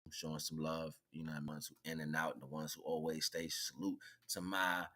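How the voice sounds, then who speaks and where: Male American streamer, very low pitch, bright punchy tone, casual slang-heavy delivery. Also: level tone, a little fast. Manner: slangy, informal street talk. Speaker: livestream host. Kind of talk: casual livestream chat with shout-outs.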